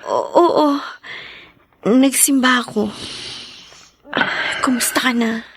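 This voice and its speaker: An actress playing a gentle estranged wife who forgives her husband in a radio drama. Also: on the high side, slow, breathy edge, heavily acted. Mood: surprised. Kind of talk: Filipino radio drama acting.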